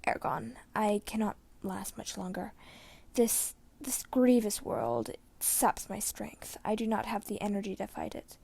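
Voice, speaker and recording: A woman voicing a frail, wise old man on his deathbed, speaking weakly and breathily with halting phrases. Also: medium pitch, expressive, darkish tone, no room sound, full character voice. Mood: pleading, fading. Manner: weak, breathy, halting speech. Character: frail dying old man, wise, grieving former warrior.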